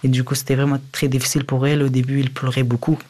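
A man's voice: medium pitch, little pitch movement, racing, a little mellow. A young adult man who learned French as a second language, giving personal testimony as an interviewee. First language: a language other than French.

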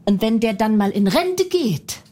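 A brash woman with a fairly high voice rants and mocks in blunt comedic banter. She talks in a loud, pushy way and laughs often between her jabs. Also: very animated, unhurried.

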